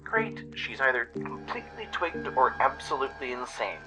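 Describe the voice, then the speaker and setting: A man's voice: medium pitch, big pitch swings, moderate pace, over music, voice pushed up, lightly acted. A man voicing a small young mare pony, irritable and anxious, in a radio play. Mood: exasperated.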